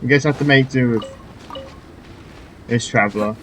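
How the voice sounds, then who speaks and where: A man's voice: mid-range voice, normal speed, fairly bright tone, slight background noise. A man giving casual gameplay commentary while playing a story quest, sometimes reading dialogue choices aloud. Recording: faint game music behind.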